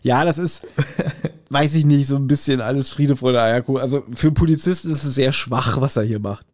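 Man, mellow tone, medium pitch, casual podcast chat, informal retelling. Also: fairly quick. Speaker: podcast host reviewing audio dramas.

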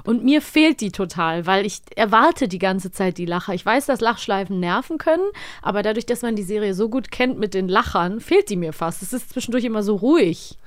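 A woman's voice: mid-range voice, expressive, a little fast, no echo, clean studio sound. A woman co-hosting a podcast in casual, conversational chat, readily agreeing with her partner. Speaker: podcast co-host.

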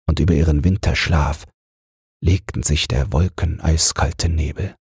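A man narrating a bedtime fairy tale audiobook in a calm, measured, husky, breathy voice. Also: a bass voice, dry recording, studio quality.

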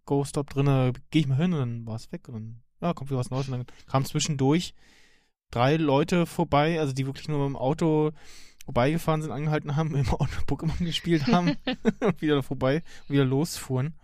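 A man talking casually as a podcast co-host. His delivery is halting, with repeated words as he looks for the next one. The sound is dry, with very little room on it. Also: a little high, fairly even intonation, a little mellow, no room sound. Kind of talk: casual chat about animated series and games.

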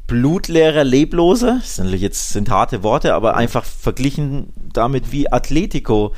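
A man with a medium-pitched voice. He co-hosts a football podcast in relaxed everyday language, putting emphatic weight on his arguments. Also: moderate pace. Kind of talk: casual football discussion.

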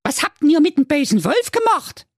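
A man's voice: very high voice, big pitch swings, fairly quick, no room sound, studio quality, voice pushed up. A man voicing a scolding, exasperated mother goat from a storybook, nagging shrilly.

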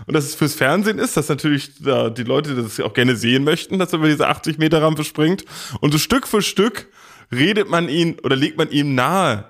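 Ironic, higher-voiced man telling stories. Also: lively intonation, a little fast, a bit bright. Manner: ironic, amused storytelling. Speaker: podcast co-host. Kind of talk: casual chat, anecdote.

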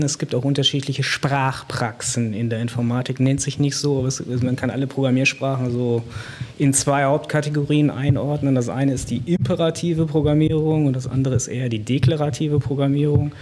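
A man on a discussion panel, explaining programming in plain terms. He hesitates often, with fillers and restarts, and reaches for everyday examples. His voice sits at a medium pitch. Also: steady tone, brisk, a little mellow, a little hiss or hum. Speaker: computer science guest.

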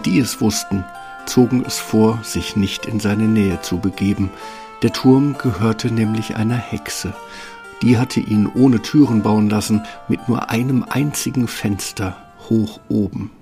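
A man's voice: lowish voice, unhurried, gravelly. A man narrating a children's fairy tale as a storybook narrator, with a wry, tongue-in-cheek touch. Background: music.

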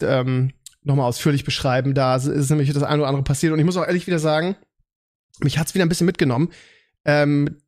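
Higher-voiced man, rambling podcast chat. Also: darkish tone. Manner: rambling, halting word searches, self-correcting. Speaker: podcast host. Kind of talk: casual chat.

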